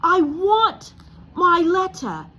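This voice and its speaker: Woman acting an indignant, high-pitched stubborn boy. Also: very animated, drawn-out words, sharp tone, heavily acted. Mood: furious. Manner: insistent, emphatic. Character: indignant, stubborn young boy demanding answers.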